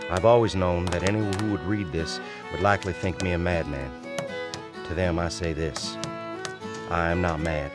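A middle-aged actor playing a haunted Confederate lieutenant, gravely and formally recounting a horror from his journal in a radio play. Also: low-pitched, close to an everyday voice. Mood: solemn, confessional. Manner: grave, formal, deliberate storytelling. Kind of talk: dramatic old-time radio play narration. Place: small radio studio. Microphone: vintage-style studio microphone at close range. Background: music.

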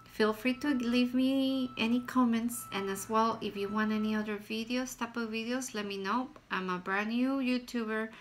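A woman's voice: a little high, fairly even intonation, normal speed, few pauses, a little hiss or hum. A woman in her thirties from Guatemala with a Guatemalan Spanish accent, giving a casual product review at home, plain and hesitant with frequent fillers. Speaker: vlogger. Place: small room at home, indoors.

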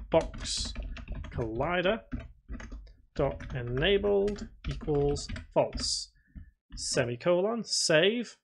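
A man with a slightly high voice presents a screen tutorial in a casual way. He talks through each step as he works, thinking aloud and addressing his viewers informally. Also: a little hiss or hum. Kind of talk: casual software tutorial narration. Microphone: voice-over recorded at a computer.

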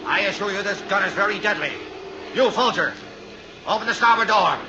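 Scheming American crook played by a man, high-pitched male voice, cold, old radio crime serial, noisy recording. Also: brisk, highs cut off, lightly acted. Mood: menacing. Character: cold, scheming, murderous male insurance swindler. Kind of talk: 1950s radio drama villain acting.

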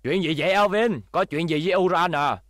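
A man's voice: high voice, a little fast, a bit bright, faint music behind. A man voicing an older male teacher, scolding but caring, in a dubbed children's cartoon. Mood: stern, scolding.

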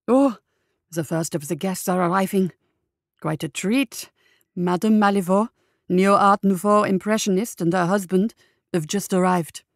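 A woman's voice: mid-range voice, dry recording, clean studio sound, natural voice. Woman reading as a formal radio gala reporter. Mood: delighted. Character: male radio reporter covering a lavish gala live. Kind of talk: live radio event commentary.

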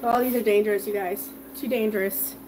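A woman's voice: medium pitch, steady tone, a little fast, noisy background. A woman chatting with viewers and reading their comments while she fries food in her home kitchen. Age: adult with children. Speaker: home cooking livestream host. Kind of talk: casual live cooking chat with viewers.

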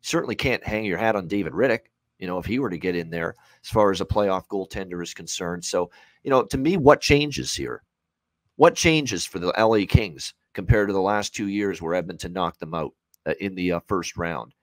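A man's voice: low voice, moderate pace, dry recording. A man in his thirties giving unscripted sports betting commentary as an analyst, emphatic and repeating his points. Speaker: sports betting analyst on a hockey preview show. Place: small home room, indoors, on a video call.

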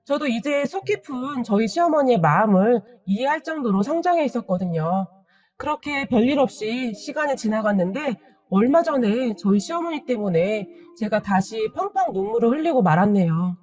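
A woman narrating a first-person family story in a gentle, earnest and polite manner for a story channel. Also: on the high side, lively intonation, moderate pace, distant mic.

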